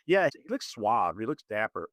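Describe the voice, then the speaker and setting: A man discussing films conversationally as a podcast host, in a halting, self-correcting way. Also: on the low side, very animated, fairly bright tone, no room sound, studio quality. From moved to Zambia at eleven, schooled in Kenya.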